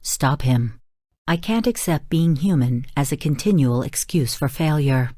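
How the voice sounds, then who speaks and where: An actress playing a cool, exacting female AI coach in a video game, giving clipped, formal, deadpan orders and blunt judgments. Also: sits low, measured pace, dry recording, studio quality. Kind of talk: scripted game voice lines.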